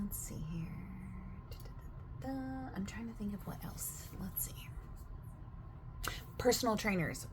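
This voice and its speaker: A woman speaking softly in an ASMR roleplay as a gym staff member, chatty and overexplaining, often dropping into a whisper partway through a sentence. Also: on the low side, slight background noise. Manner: soft-spoken, slipping into whispers mid-sentence. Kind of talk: soft-spoken ASMR sales roleplay.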